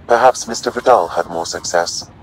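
An actor playing a calm, precise male artificial intelligence companion in a video game, measured and formal. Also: low-pitched, a little hiss or hum, close to an everyday voice, poor audio. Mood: calm, factual.

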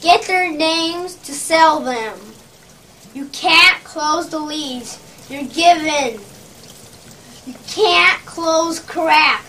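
A child performs a bullying sales boss in a theatrical puppet parody, with a strikingly high voice. The delivery is brisk, emphatic and full of scornful taunts, picked up at some distance over faint noise. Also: sharp tone, heavily acted. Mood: scornful, commanding. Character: ruthless, bullying sales boss berating his underperforming salesmen.

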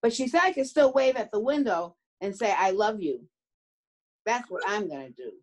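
A woman's voice: mid-range voice, expressive, normal speed, darkish tone, close to an everyday voice. A woman voicing a chatty, sincere young child puppet in a children's puppet show. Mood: sincere, proud.

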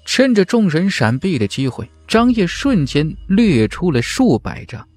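A man's voice: high voice, sing-song, a little slow, a little mellow, dry recording. A man narrating a fantasy novel in a dramatic, scripted audiobook style.

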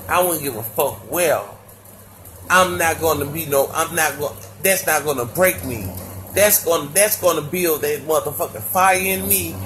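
A woman with a deep voice, ranting profanely and stammering over a phone video call. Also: normal speed, noisy background. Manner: stammering, restarts often. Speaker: live stream call-in guest. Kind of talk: profane casual rant. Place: indoors, lying on a couch.

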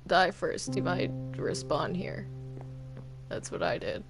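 A woman chatting casually in a game roleplay, with a hesitant, halting way of talking. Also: lowish voice, very animated, gravelly. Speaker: player in a Minecraft roleplay server. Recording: music behind the voice. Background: music.

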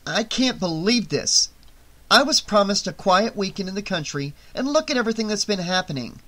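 A man's voice: fairly high pitch, big pitch swings, moderate pace, a bit bright, slightly rough, exaggerated voice. A man voicing an emphatic, easily exasperated young woman in an audiobook story. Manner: emphatic, incredulous.

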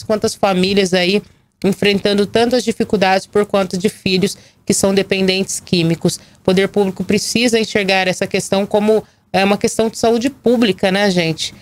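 A Brazilian woman in her thirties presenting live local news, her voice hoarse from a cold. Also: mid-range voice, no echo. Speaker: TV and radio news anchor. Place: small indoor news studio. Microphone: gooseneck desk microphone.

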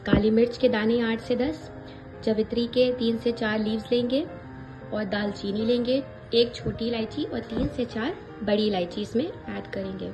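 A woman hosting a cooking channel, calmly explaining a recipe step by step with small hesitations. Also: on the high side, normal speed, poor audio. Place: home kitchen at a gas stove. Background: background music.

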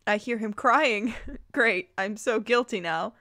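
Female reader-commentator, high-pitched and expressive, casual amused asides, very close dry sound. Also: slow pace, no echo. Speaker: host reading fan fiction aloud with commentary. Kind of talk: informal reading with reactive asides.

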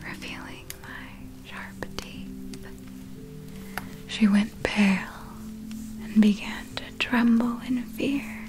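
A woman playing a wicked female vampire in a whispered ASMR roleplay, breathy and deliberate as she savors her storytelling. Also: on the high side. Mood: sinister, savoring.

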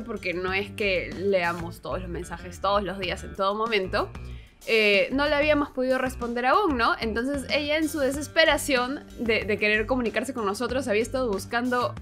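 A woman's voice: fairly high pitch, brisk, fairly bright tone, faint music behind. A young woman co-hosting a channel, telling a story in a casual, conversational way. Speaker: co-host of a channel.

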